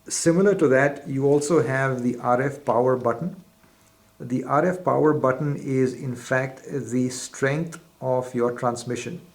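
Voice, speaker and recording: A man's voice: medium pitch, steady tone, unhurried. A man presenting a step-by-step instructional demonstration in a methodical, formal, explanatory way. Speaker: product demonstrator.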